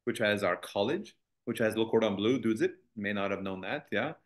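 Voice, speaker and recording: A man's voice: on the low side, normal speed. A man, a college executive as interview guest, speaking fluently with confident, joking ease. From United States. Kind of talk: interview conversation.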